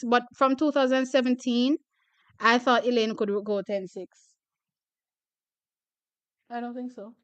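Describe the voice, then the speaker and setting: Chatty young woman with a smooth voice. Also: high voice, dry recording. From Jamaica. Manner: chatty, laughing often, repeats herself. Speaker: track and field channel host. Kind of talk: casual chat reading viewer comments.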